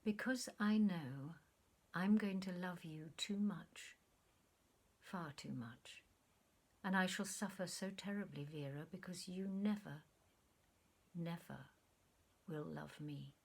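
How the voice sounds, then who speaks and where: A man's voice: sits high, slow pace, vocal fry, long pauses, heavily acted. Woman voicing a grave, wistful sentimental man. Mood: low, troubled. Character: earnest, sentimental man reminiscing with a former sweetheart.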